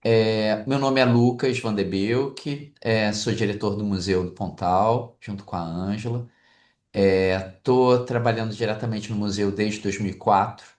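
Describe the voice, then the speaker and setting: Brazilian guy, super slow, lots of ums, echoey room sound. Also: on the low side, fairly even intonation, darkish tone. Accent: Brazilian Portuguese. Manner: hesitant, with many filler sounds. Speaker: museum director. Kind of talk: interview answer.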